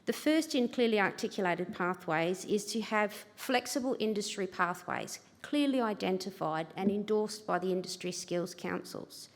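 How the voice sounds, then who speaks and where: A woman's voice: mid-range voice, lively intonation, moderate pace, hardly any pauses. An Australian woman, a government education manager, giving a chatty, self-deprecating conference talk at a lectern microphone. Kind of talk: conference presentation. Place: large convention hall. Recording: reverberant hall, livestream.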